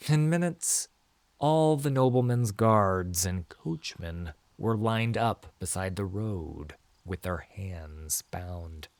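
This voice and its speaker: A man narrating a scripted fantasy story in a theatrical, measured and emphatic way. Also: deep voice, lively intonation, no room sound. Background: music.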